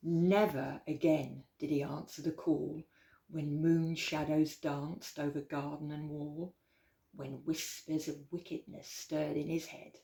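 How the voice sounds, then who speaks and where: A woman reading a rhyming children's picture book aloud as a storytime host, with expressive, dramatic emphasis. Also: low-pitched, slow pace, gravelly. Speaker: library storytime reader. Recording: roomy, echoey indoor sound.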